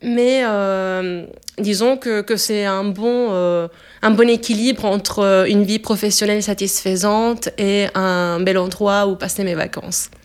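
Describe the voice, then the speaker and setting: This is a woman in her twenties whose first language is Italian, speaking French with an Italian accent. Her voice is smooth and clear. She talks at a relaxed, searching pace, often pausing on fillers as she gathers her thoughts. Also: mid-range voice. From Italy. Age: 20s. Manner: hesitant, many filler pauses. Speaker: interviewee, French teacher. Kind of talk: casual interview answers.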